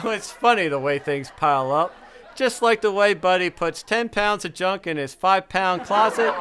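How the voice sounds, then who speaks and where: A man's voice: high voice, pushed and bright, clean tone. A man hosting in a folksy, old-time radio announcer style, interviewing and presenting the show.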